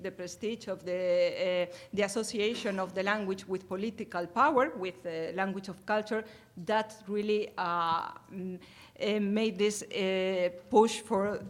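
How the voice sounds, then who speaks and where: A woman with a Spanish accent giving an academic conference talk in a careful, formal way, often pausing on hesitations. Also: medium pitch. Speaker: conference presenter.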